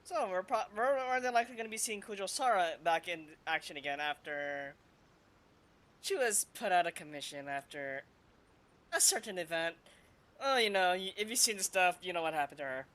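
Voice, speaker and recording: Woman streamer, lowish voice, offhand halting asides over gameplay. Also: animated, fairly bright tone. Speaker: gamer streamer. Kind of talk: casual commentary over gameplay.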